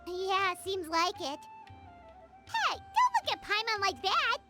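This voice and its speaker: A chirpy, tiny fairy companion from a video game, with a very high voice that leaps up and down. She chatters brightly and keeps referring to herself in the third person. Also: wildly swooping pitch, slow pace, over loud music, exaggerated voice.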